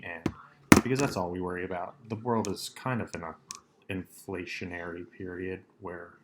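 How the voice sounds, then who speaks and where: Low-voiced man rambling on his podcast. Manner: rambling, deadpan, many ums and asides. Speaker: solo podcast host. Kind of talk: rambling solo podcast chat.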